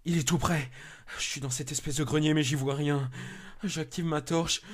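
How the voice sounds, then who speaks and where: A man's voice: on the high side, fairly even intonation, normal speed, lightly acted. A man playing an urgent, frightened member of a search party making radio reports in a horror drama. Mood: terrified, sobbing. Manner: urgent, whispering, breaking into screams and sobs. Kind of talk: dramatised horror story acting.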